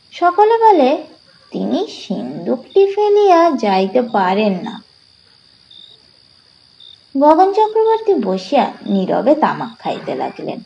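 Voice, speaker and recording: Mellow, expressive woman storyteller. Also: fairly high pitch, vocal fry, lo-fi sound, a little hiss or hum. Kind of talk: expressive literary story narration.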